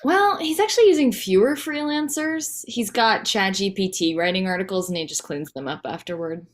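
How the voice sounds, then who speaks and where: A woman's voice: mid-range voice, animated, moderate pace, lightly acted. A woman playing a wry, candid wife and mother in a sitcom table read, dry and teasing.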